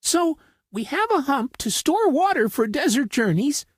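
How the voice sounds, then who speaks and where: A man's voice: very high voice, sing-song, measured pace, no room sound, clean studio sound, pitched above the performer's own voice, heavily acted. A man voicing a curious, innocent young baby camel with a childlike, questioning manner in a children's story. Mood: thoughtful, questioning.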